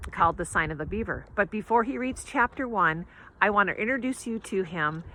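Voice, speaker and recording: A woman's voice: lowish voice, bright, forceful tone, low-quality recording. A woman informally presenting to a class of schoolchildren as the host who introduces a guest reader.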